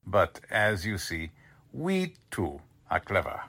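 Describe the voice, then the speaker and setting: A man's voice: low voice, sing-song, takes it slowly, fairly bright tone, exaggerated voice. A man playing a smugly polite, condescending Nazi commander in a 1940s-style radio drama, speaking formally. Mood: cold, impatient.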